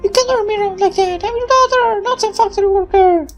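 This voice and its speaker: Very high, spoiled girlish daughter. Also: fast, faint music behind. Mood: upset, defiant. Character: spoiled, gushing young daughter of a military man.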